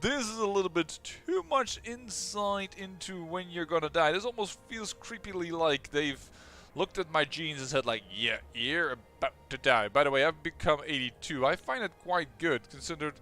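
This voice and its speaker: A young man with a Dutch accent, casually commentating his own strategy game playthrough, excitable and quick, often restarting his sentences. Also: fairly high pitch, faint music behind. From Netherlands. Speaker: let's play host.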